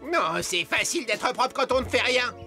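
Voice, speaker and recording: A woman's voice: on the high side, animated, moderate pace, pushed and bright, soft background music. A woman voicing a hardworking, crafty farm donkey in a children's cartoon, with an exasperated, theatrical way of talking. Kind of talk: animated children's cartoon dialogue.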